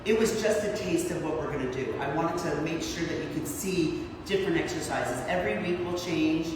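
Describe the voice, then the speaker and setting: A woman in her sixties leads a workout in a low, husky voice, cheering the class on as she counts reps aloud. She sounds far from the mic in a large, echoing hall. Steady room noise hums behind her. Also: fairly quick. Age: 60s. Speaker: fitness instructor. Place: large gym.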